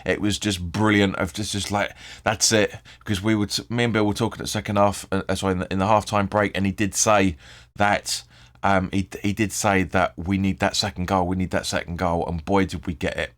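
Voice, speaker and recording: A man with a hoarse, croaky voice hosting his own fan channel, rambling through post-match thoughts, correcting himself and swearing freely. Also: sits low, little pitch movement, fairly quick, fairly bright tone. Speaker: football fan channel host. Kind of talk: casual post-match video commentary.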